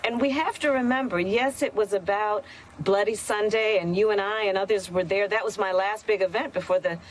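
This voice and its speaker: A woman's voice: mid-range voice, brisk, slight background noise, rough recording. A woman giving a spoken tribute in conversational remarks, stressing phrases by repeating them. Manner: emphatic, repeating phrases for weight.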